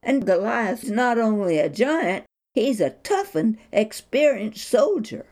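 A woman's voice: on the high side, sing-song, takes it slowly, darkish tone, pitched above the performer's own voice, heavily acted. A woman voicing a worried, cautious king in a storybook reading, speaking in a warning tone.

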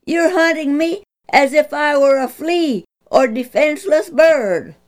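A woman's voice: fairly low pitch, lively intonation, slow pace, a little mellow. A woman voicing an earnest young male hero, calling out and pleading in a storybook reading.

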